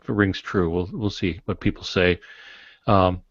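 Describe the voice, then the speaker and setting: Male co-host, low pitch, explanatory conversational read, dry close sound with muffled lo-fi edge. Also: steady tone, normal speed, no room sound. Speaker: podcast co-host. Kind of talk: casual podcast discussion. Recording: dull, dry lo-fi podcast audio.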